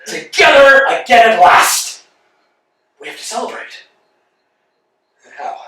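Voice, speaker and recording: A man acting a weary, talkative tramp in a staged play reading, wry and theatrical, swinging between loud and soft. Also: extremely high pitch, expressive, slow pace, full character voice. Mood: rueful. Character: weary, talkative male tramp waiting endlessly. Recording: echoey room, distant mic.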